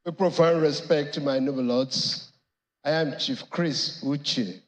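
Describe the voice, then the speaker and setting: A man with a Nigerian accent formally announcing appearances as counsel in court, measured and deferential. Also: on the high side, rough recording. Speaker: lead counsel for petitioners in court.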